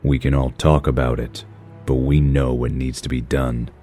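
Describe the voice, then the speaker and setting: An actor playing a grim, brooding masked vigilante in a comic-book audio drama, speaking tersely and solemnly with menace. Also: male voice, very deep voice, flat delivery, normal speed, mellow, faint music behind. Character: grim brooding vigilante in a mask, adult man.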